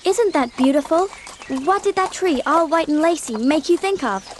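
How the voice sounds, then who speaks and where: A dreamy young orphan girl chatters away in a high voice. She is eager and gushing, full of exclamations, as heard in a dramatised storybook. There is faint noise behind her. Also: expressive, moderate pace. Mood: delighted. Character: eager, talkative, dreamy eleven-year-old orphan girl. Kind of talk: audiobook dramatisation acting.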